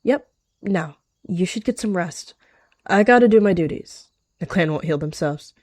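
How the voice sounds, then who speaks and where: A woman's voice: lowish voice, animated, normal speed, a little mellow, no room sound. A woman voicing a practical, friendly female healer cat in a roleplay, matter-of-fact and welcoming.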